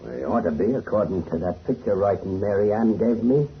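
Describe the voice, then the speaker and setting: A man's voice: lowish voice, animated, brisk. A man playing a callous, cocky jungle adventurer in a 1930s radio drama. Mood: cocky, impatient. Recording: muffled, faintly hissy radio recording.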